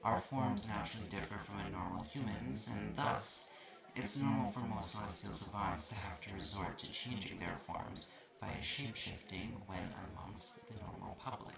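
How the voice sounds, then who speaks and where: A man's voice: fairly low pitch, moderate pace, creaky, reverberant, a loud crowd. A man playing a haughty, slightly mean magic-university student in an audio roleplay, explaining things in a curt, condescending way. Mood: haughty, explanatory. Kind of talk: audio roleplay acting addressed to the listener. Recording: noisy recording with clicks.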